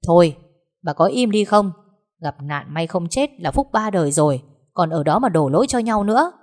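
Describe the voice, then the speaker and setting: A woman's voice: on the low side, animated, normal speed, darkish tone, lightly acted. A woman voicing a stern older father who barks at his family. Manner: barking, stern.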